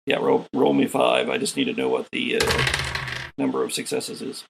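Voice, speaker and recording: A man's voice: mid-range voice, sing-song, moderate pace, creaky, noisy background. A man running a tabletop sci-fi horror game as host, narrating scenes and calling for dice rolls.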